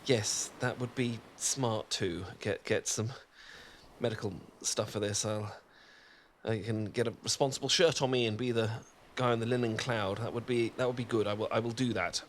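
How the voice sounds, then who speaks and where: A man's voice: lowish voice, fairly even intonation, normal speed, slight background noise, close to an everyday voice. Guy doing a sunburnt british dork in an asmr roleplay, stammers a lot, keeps putting himself down, nervous little laughs. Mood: flustered. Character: awkward sunburned young British man, sweet and flustered. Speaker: ASMR performer.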